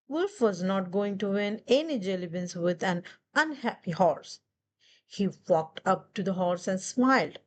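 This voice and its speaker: A woman's voice: medium pitch, slight background noise. A woman reading a children's picture book aloud as a storyteller. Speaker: storybook reader. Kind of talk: children's read-aloud narration.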